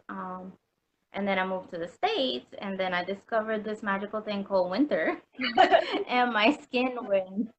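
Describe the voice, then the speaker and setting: A woman's voice: mid-range voice, a bit bright, lo-fi sound, a little hiss or hum. A woman from Puerto Rico chatting as an interview guest, full of ums and likes, laughing easily. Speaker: customer guest. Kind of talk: casual interview chat.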